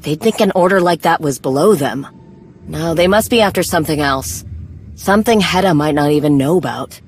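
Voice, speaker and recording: Game warrior woman, played by an actress: low-pitched, blunt, dry, proud, no time for niceties. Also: a little fast, a little mellow, slight background noise, close to an everyday voice. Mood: wry, analytical. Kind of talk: video game cutscene acting.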